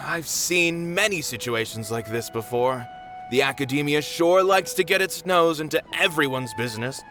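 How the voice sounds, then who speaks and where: An actor playing an earnest, responsible middle-aged theater manager in an anime game, speaking formally and articulately. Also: male voice, on the high side, measured pace, sharp tone. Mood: grave, resolved. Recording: music behind the voice.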